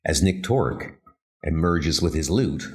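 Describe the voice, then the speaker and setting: A man's voice: extremely low pitch, expressive, unhurried. A man reading dramatic scripted narration for a horror comic.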